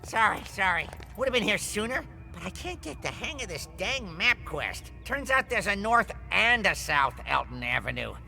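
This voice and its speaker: Bumbling middle-aged cartoon cop, nasal, gravelly, bright. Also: male voice, high-pitched, sing-song, normal speed, few pauses, soft background music, full character voice. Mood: blustery.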